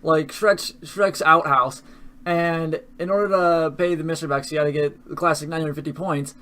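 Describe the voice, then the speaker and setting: A man's voice: fairly high pitch, steady tone, normal speed, bright, forceful tone. Foul-mouthed young man mocking himself. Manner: profane, self-mocking. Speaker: YouTuber reacting to his old videos. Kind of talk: casual commentary.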